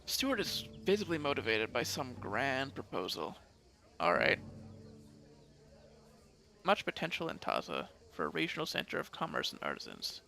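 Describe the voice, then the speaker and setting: Male gamer, very creaky voice, bright tone, thinking aloud casually, faint music behind. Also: medium pitch, wildly swooping pitch, moderate pace, pauses often. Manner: mild casual swearing, reads game text aloud. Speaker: let's play host.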